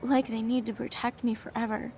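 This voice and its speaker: An actress voicing a shy, devout young woman student in a video game, speaking softly and earnestly. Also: on the high side, level tone, a little fast, gravelly, a little hiss or hum. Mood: sincere.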